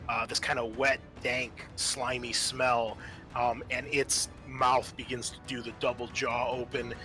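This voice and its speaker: Guy gm-ing a horror tabletop game, sharp bright voice, dramatic but halting, some music in the back. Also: medium pitch, flat delivery. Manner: halting delivery with vivid, dramatic descriptions. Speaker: game master. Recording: music playing behind.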